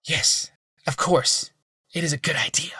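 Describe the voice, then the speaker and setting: Man voicing a weary, high-pitched, reluctant underling. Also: measured pace, bright, forceful tone, husky, dry recording, studio quality, exaggerated voice. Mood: weary, resigned. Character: weary, wary subordinate, reluctantly agreeable.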